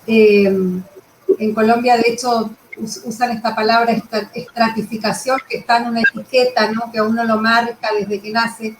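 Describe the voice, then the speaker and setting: Higher-voiced woman interviewer in an echoey room. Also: level tone, moderate pace. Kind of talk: conversational literary interview. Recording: roomy echo with clear background noise.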